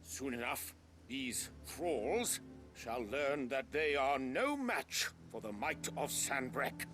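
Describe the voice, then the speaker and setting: An actor playing a haughty, imperious duke in a fantasy game, speaking in a formal, pompous, oratorical way. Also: male voice, mid-range voice, very animated, slow pace, over music. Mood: lofty resolve. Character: haughty imperious male duke of a fallen empire. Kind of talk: scripted fantasy game acting.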